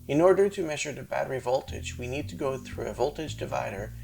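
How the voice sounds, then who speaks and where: A man with a slightly gravelly voice explains his hardware project in a measured, methodical tech demo narration. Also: medium pitch, animated, darkish tone, soft background music. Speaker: maker presenting his hardware and firmware project.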